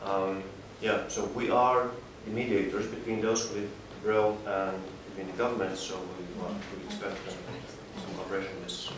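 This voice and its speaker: A man speaking for a diaspora organization on a panel, halting and full of filler sounds. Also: deep voice, level tone. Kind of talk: panel discussion. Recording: echoey hall, mic far off, background noise.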